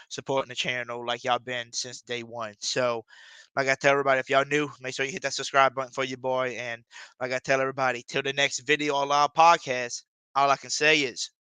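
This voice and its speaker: A man's voice: mid-range voice, flat delivery, brisk, sharp tone, clear, resonant tone, no room sound. A man hosting a sports podcast, signing off to viewers in a laid-back, drawling way with many fillers. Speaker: podcast host. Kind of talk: casual sign-off to viewers.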